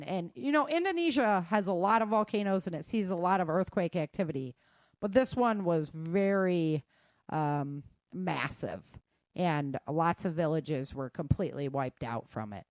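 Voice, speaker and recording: A woman's voice: fairly low pitch, no room sound. A woman hosting a show, running through news in an informal, slangy way with chatty asides. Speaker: host of a survival news show.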